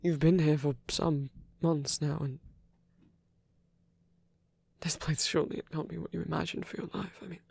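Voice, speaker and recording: A man performing a soft ASMR roleplay as a lonely, gentle old vampire priest, speaking haltingly and tenderly in formal words, breathy and often near a whisper. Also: fairly high pitch, fairly even intonation, no echo. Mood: wistful, tender.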